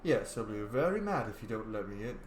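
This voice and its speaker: A young man playing a video game and roleplaying his character, excitable, sweary and playful. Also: lowish voice, brisk, reverberant, slight background noise. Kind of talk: casual let's play commentary with in-character lines.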